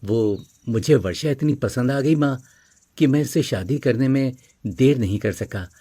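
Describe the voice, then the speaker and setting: Man acting as eager young son, mellow husky voice, coaxing, dry recording, cartoon story. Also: mid-range voice, a little slow, dry recording, close to an everyday voice. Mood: proud, pleading.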